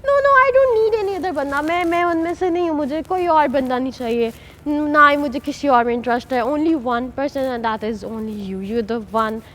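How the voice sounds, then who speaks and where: A woman's voice: high voice, unhurried, a bit bright, slight background noise. A young woman, a reality show contestant pleading her case insistently and switching between Urdu and English. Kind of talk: unscripted pleading confession.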